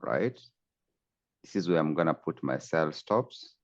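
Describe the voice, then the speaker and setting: A man's voice: very deep voice, animated, a little slow, lo-fi sound. A man from Kenya, a trading coach, steadily explaining charts in a screen-share tutorial over a video call.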